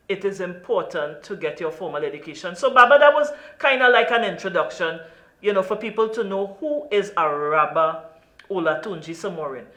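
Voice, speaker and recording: A woman's voice: lowish voice, fairly bright tone, reverberant. A woman from Trinidad and Tobago with a Trinidadian accent, hosting an interview in an earnest, emphatic way. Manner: earnest, emphatic, lots of "you know". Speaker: talk show host.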